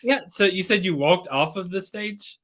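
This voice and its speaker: A man interviews a guest as a podcast host. His voice sits a little high, and his delivery is informal and easygoing, like a casual chat. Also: normal speed, pushed and bright.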